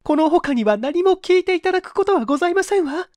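A man performs a young married lady in a literary mystery reading. The voice is lifted high and swings emotionally, halting and tearful, in a dry recording. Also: expressive, a little mellow, no room sound. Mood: anguished, tearful. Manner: halting, sobbing whispers.

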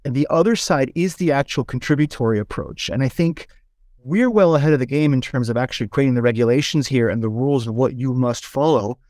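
A man's voice: mid-range voice, lively intonation, fairly quick, darkish tone, dry recording, clean studio sound. A man from Switzerland hosting a conversational interview, often restarting and stacking up his phrases. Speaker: podcast host.

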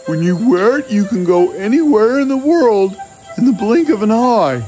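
An actor playing a big, dim-witted, childlike giant in an animated fairy tale, speaking simply and earnestly. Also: male voice, sits high, moderate pace. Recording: faint music behind the voice.

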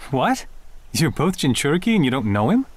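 A man's voice: a little high, big pitch swings, a little fast, no room sound. A man voicing a friendly village guard ninja in an anime game dub. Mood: proud, surprised.

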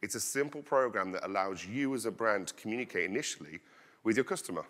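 A man in his thirties giving an energetic conference talk, projecting his voice as he walks the stage on a headset mic. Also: lowish voice, fast. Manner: loud, projecting voice. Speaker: conference speaker. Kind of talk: conference presentation. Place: large conference hall stage. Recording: long hall reverb.

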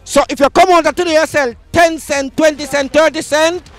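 A young Jamaican man hosting a street market vox pop outdoors with a handheld mic, hyped and shouting slogans in Patois banter. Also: very high voice, steady tone, brisk.

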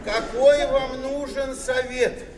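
A man's voice: very high-pitched, fairly even intonation, slow pace, a crowd in the background. An actor playing a gloomy, stubborn seventy-year-old village father in a radio play, terse and morose. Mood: curt, gloomy. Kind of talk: Soviet-era radio play acting. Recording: echoey hall, distant, faint noise.

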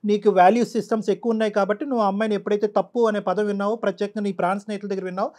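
A middle-aged man counselling emotionally, emphatic and forceful, often repeating his phrases. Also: high voice, little pitch movement, very fast. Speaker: counsellor doctor on the show. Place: studio.

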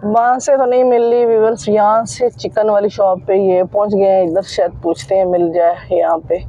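A woman's voice: mid-range voice, flat delivery, normal speed, mellow, highs cut off. Chatty woman vlogging from a car. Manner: chatty, addresses viewers directly, repeats filler phrases. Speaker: family vlogger. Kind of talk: casual vlog narration. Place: inside a car in a busy market street. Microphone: phone held at arm's length. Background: faint traffic and vehicle noise.

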